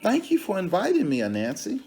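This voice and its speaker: A man's voice: sits high, huge pitch swings, fairly quick, dark tone, pitched above the performer's own voice, full character voice. A man voicing a friendly, trusting male elephant from a storybook, polite and agreeable. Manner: polite, agreeable, easily led.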